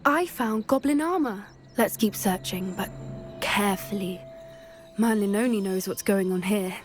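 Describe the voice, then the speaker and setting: An actress playing a cautious, sharp young female student witch in a video game, wary and analytical. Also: medium pitch, unhurried, lightly acted. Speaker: student companion. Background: game music.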